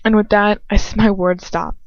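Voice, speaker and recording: A woman's voice: medium pitch, fairly even intonation, moderate pace, dry recording. Woman narrating fiction, dry and slightly muffled. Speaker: amateur audiobook reader. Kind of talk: dramatic first-person fiction narration.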